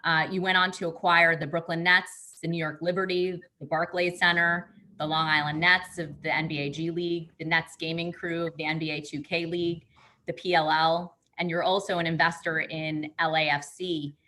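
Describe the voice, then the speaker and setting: A woman's voice: low voice, flat delivery, moderate pace, bright, forceful tone. A woman hosting an interview, asking questions in a polished, complimentary way with some fillers. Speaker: interviewer, host.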